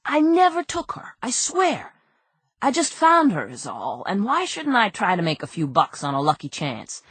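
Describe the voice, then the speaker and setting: A woman's voice: medium pitch, wildly swooping pitch, moderate pace, a bit bright, dry recording, voice pushed up, heavily acted. Woman doing a whiny small-time crook. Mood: whiny pleading. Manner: whining, pleading, rough grammar. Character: petty male crook, whiny and nervous.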